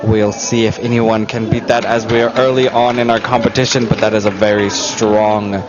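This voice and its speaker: A man commentating live on a martial arts competition, announcing athletes and scores in a measured, orderly way. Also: lowish voice, level tone. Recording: muffled broadcast audio, music and crowd behind. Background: venue music and crowd noise.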